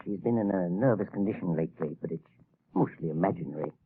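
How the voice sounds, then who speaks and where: An actor playing a nervous, evasive male professor, stammering and husky in a 1930s radio drama. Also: low-pitched, quick pace. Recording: tinny 1930s radio broadcast.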